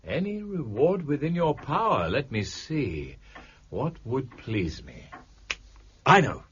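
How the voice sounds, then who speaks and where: An actor playing a brilliant, eccentric amateur detective in an audio drama, arch and precise with wry theatrical delivery. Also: male voice, medium pitch, sing-song, slow pace, a bit bright, slightly rough, full character voice. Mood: commanding, triumphant. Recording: muffled, noisy lo-fi audio.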